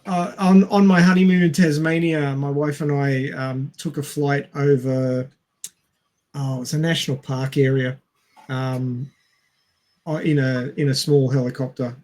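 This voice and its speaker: A man with a medium-pitched voice talks casually as a podcast co-host. He pauses and searches for his words as he explains things, speaking into a headset. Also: steady tone, darkish tone. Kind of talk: casual film podcast chat.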